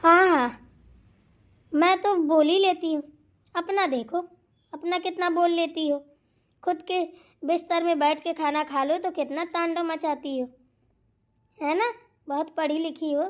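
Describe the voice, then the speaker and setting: A woman acts out a demanding young sister-in-law with an extremely high, girlish pitch. She is quarrelsome and insistent, complaining her way through a domestic comedy skit. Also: steady tone, moderate pace. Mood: combative. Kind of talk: family comedy skit acting.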